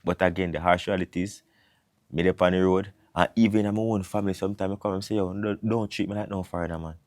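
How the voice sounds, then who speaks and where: A man's voice: deep voice, fairly even intonation, fast, a little mellow, no room sound, clean studio sound. A man in his thirties from Jamaica with a Jamaican accent, talking casually to camera and mixing patois into his English. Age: 30s. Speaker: vlogger. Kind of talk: casual monologue.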